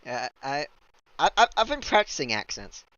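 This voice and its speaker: A man's voice: on the high side, very animated, normal speed, sharp tone, no echo, muffled recording. A man hosting a casual stream chat, goofy and rambling, laughing often. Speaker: streamer host.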